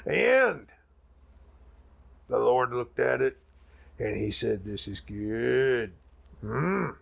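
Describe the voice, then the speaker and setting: A man playing a folksy, grandiose backwoods preacher telling a mock sermon in a raspy, gravelly voice with dramatic pauses. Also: medium pitch, big pitch swings, fairly bright tone, heavily acted. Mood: solemn, folksy. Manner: drawn-out, folksy, dramatic pauses and sudden swells.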